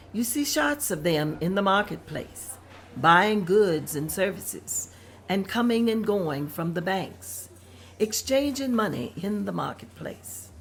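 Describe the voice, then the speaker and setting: A woman's voice: lowish voice, vocal fry, slight background noise. A woman reading out scene directions at a script table read in a plain, descriptive manner.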